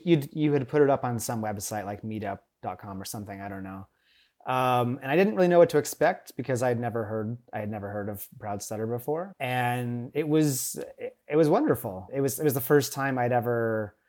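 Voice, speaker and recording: A man being interviewed on a podcast, speaking in a relaxed way with frequent stuttered repetitions and fillers. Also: medium pitch. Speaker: podcast guest, teacher. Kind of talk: casual interview.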